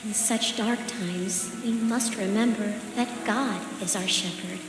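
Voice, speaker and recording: A woman's voice: medium pitch, steady tone, measured pace, music in the background, close to an everyday voice. Solemn, devout woman, acted, in echoing space. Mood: devout consoling. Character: devout, solemn consoling woman speaking of God. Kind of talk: dramatic video game dialogue. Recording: echoey reverberant hall with rain noise.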